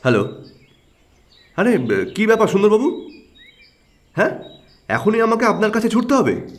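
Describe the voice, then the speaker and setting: An actor playing a keen, brooding and excitable private detective in an audio drama, with a probing, emphatic delivery. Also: male voice, sits high, moderate pace. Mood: surprised, urgent.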